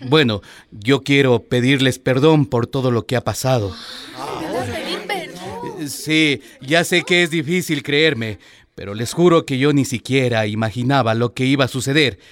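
A man's voice: mid-range voice, a little slow, a bit bright, lightly acted. A man playing a contrite, hesitant small-town mayor. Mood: ashamed. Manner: hesitant, apologetic. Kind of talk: radio drama acting.

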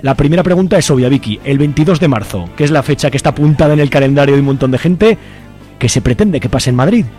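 A man's voice: medium pitch, lively intonation, fast talker, dark tone, soft background music. A man with an accent from Spain hosting a radio interview in an informal, explanatory way, often adding tag questions. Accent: Spanish from Spain. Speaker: radio host.

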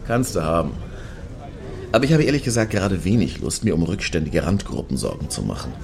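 A brash middle-aged man in a satirical radio play. His voice is very deep and gravelly, swinging widely in pitch as he grumbles and speechifies in sarcastic slang. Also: very animated, a little fast, darkish tone, full character voice. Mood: impatient, sardonic. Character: brash, randy, opinionated man queuing outside a Berlin club.

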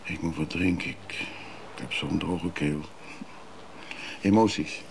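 An actor playing a guarded, evasive traveller in a radio play, speaking tersely and haltingly. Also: male voice, low voice, gravelly, background noise. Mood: halting, reflective.

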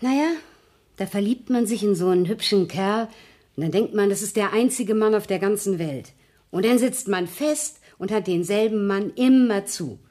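An actress playing a cynical, weary working-class maid in a 1970s radio play, grumbling in an earthy, sardonic way. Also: female voice, mid-range voice, moderate pace, a little mellow, close to an everyday voice. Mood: wry, world-weary.

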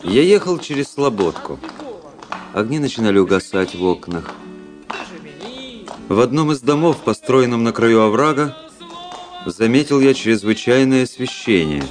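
An actor playing a cynical, proud young officer who narrates his own story in a measured, literary radio play style. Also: mid-range voice, big pitch swings, music in the background, natural voice. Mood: brooding, self-satisfied.

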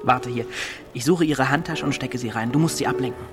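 A man's voice: mid-range voice, a little fast, over music, lightly acted. A man playing a cocky, mischievous teenage village boy with an offhand, conspiratorial manner in a radio drama. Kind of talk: naturalistic radio drama dialogue.

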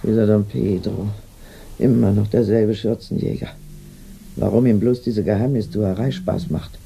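A performer in a German radio play voicing a fretful, superstitious servant woman who mutters and complains in a dark, creaky voice. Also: medium pitch, fairly even intonation, moderate pace. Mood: grumbling.

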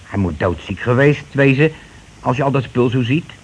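An actor playing a blunt, self-righteous working-class husband in a radio play, grumbling, bossy and rather pompous. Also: male voice, mid-range voice, animated, normal speed, lightly acted. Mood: curious, scandalized. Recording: noisy old radio play recording.

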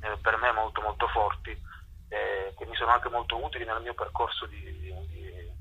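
A man in a casual phone interview, speaking thoughtfully and pausing between phrases. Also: on the low side, steady tone, breathy edge. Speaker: stage performer, interview guest. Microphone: telephone. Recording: dull, band-limited phone line.

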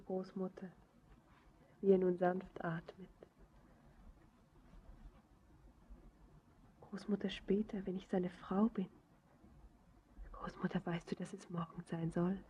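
Radio drama: female actor as shy young bride, breathy and hushed, slow delivery, slight background noise. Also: lowish voice, flat delivery, lightly acted. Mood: hushed wonder. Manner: breathy, hushed, halting phrases. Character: tender, self-doubting young bride-to-be, full of wonder. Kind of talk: classic German radio drama acting.

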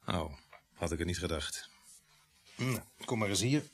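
An actor in a radio play as a middle-aged, grumbling veteran village police sergeant, speaking with dry, gruff sarcasm. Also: male voice, sits low, lively intonation, a little slow, noisy background. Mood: dry, instructive. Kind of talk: radio drama acting.

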